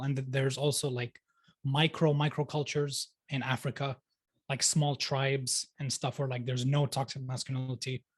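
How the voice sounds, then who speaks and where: Young man, casual podcast guest, talkative, lots of "like", mid pitch, dry close recording. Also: no room sound. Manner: chatty, fills talk with "like", laughs easily. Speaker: podcast guest, sociologist and life coach. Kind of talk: casual interview chat.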